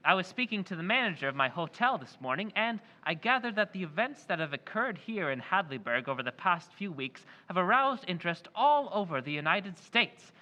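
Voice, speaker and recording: An actor playing a smooth-talking mysterious stranger who presents himself as an antiques dealer, in an old-time radio play recreation. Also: male voice, sits high, sing-song, brisk, hardly any pauses, sharp tone, muffled recording, exaggerated voice. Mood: smooth persuasive. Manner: persuasive, salesmanlike.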